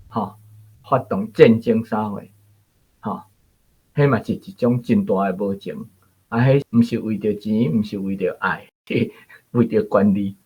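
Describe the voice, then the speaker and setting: Casting: male guest commentator, Taiwanese accent, low husky voice, halting analytical delivery, slightly dull recording. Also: darkish tone. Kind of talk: unscripted literary commentary.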